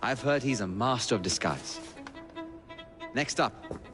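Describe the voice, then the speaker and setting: Actor as courteous shop owner over music. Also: male voice, medium pitch, expressive, lightly acted. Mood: businesslike. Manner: polite, measured, formal. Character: courteous, earnest male curio shop owner and guide. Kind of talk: video game cutscene acting. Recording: music and city noise behind.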